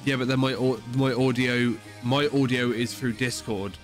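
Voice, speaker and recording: A man's voice: mid-range voice, fairly even intonation, normal speed, soft background music. A man with a cold, stuffy and sore-throated, hosting a casual podcast chat, explaining things emphatically with plenty of swearing. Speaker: podcast host.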